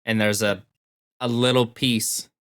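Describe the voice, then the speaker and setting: A man's voice: medium pitch, little pitch movement, unhurried, fairly bright tone, clean tone, no room sound, studio quality. A man co-hosting a podcast, giving brief, informal replies in casual chat. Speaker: podcast co-host.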